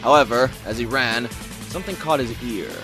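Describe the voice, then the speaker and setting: Guy narrating an audio story, theatrical delivery, mid pitch, faint music under him. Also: normal speed. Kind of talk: scripted dramatic story narration.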